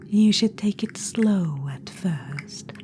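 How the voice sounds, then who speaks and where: A woman's voice: medium pitch, lively intonation, slow pace, a little hiss or hum. A woman whispering as a haughty witch-queen in an orb. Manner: soft whispering, regal and condescending. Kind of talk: ASMR audio roleplay.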